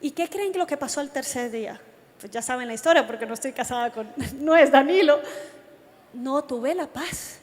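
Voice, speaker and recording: A woman's voice: sits high, moderate pace. A woman with a Colombian accent preaching to young people, telling personal stories and addressing her listeners directly. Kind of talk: youth sermon with personal testimony. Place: large reverberant meeting hall. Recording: long hall reverb from a sound system.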